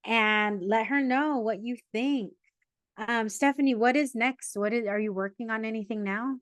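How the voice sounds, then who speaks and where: Woman podcast host, lively storyteller, slightly high voice, dry sound. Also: normal speed, no echo. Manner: lively storyteller, mixes in Spanish words. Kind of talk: casual interview chat.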